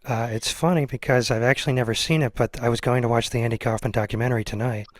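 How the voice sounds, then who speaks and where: American male interviewer, medium pitch, unassuming and a little hesitant, casual chat, dry recording. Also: steady tone, fast talker, dry recording. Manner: hesitant, self-deprecating. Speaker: show host, interviewer.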